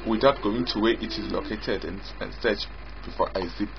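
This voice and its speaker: A low-voiced man walks through a piece of software he built, speaking off the cuff. He explains in short, halting phrases and often repeats himself. The audio is dull and lo-fi, with loud music playing behind him. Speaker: software developer demonstrating own app. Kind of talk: unscripted screen-recording software walkthrough.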